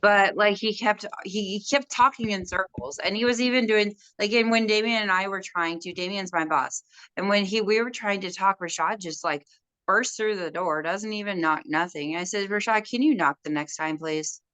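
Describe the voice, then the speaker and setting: A young woman with a loud, bright and very clear voice tells a story from her job in casual conversation. She acts out the quoted lines and keeps the story moving. The sound is dry and close. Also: medium pitch, moderate pace, no echo, low-quality recording. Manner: animated storytelling, quoting others. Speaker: house manager telling a work story.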